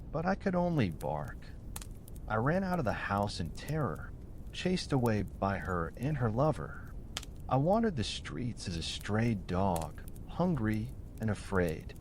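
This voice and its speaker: Man reading as earnest old storyteller, lively pitch. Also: measured pace, slight background noise. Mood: earnest, wounded. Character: old man telling his mule-wife tale, wronged, earnest.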